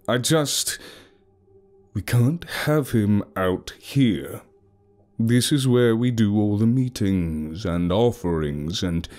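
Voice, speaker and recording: Ancient, menacing male villain read by a man, calm and measured, low voice.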